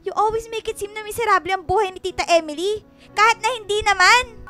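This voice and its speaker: A woman's voice: very high-pitched, fast, sharp tone. An actress playing an outspoken, indignant young woman confronting her best friend emotionally in a radio drama. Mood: angry, accusing. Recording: music bed under dialogue. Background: background music.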